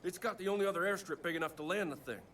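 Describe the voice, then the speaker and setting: A man's voice: high voice, racing, a bit bright, slight background noise. An actor playing a hot-tempered, foul-mouthed male bush pilot who breaks into swearing, emotional outbursts. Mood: desperate. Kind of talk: video game cutscene acting.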